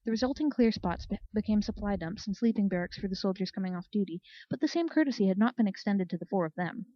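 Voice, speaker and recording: A woman's voice: mid-range voice, dark tone, no echo, highs cut off. A woman reading a scripted story aloud as an audiobook narrator. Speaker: audiobook reader.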